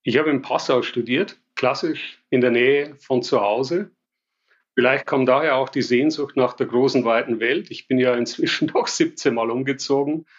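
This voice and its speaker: Relaxed Bavarian man, slightly lo-fi. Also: medium pitch, moderate pace. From Bavaria, Germany. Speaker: interview guest, business executive. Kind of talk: casual interview answers.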